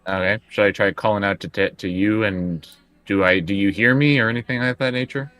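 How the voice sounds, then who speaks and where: Low-voiced man playing a tabletop game. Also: fairly quick, a bit bright. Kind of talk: casual game chat.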